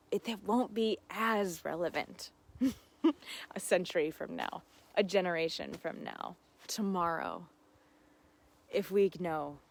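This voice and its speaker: A woman hosting a casual livestream, talking in an earnest, heartfelt and effusive way. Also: on the low side. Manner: effusive, often laughing while talking. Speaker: host of a live Q&A.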